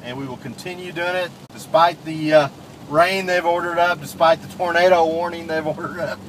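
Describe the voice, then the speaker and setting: A man's voice: on the high side, steady tone, normal speed, a bit bright, a little hiss or hum, poor audio. A man chatting casually and laughing as he drives a car. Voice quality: laughing, easygoing. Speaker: driver and travel companion. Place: inside a moving car.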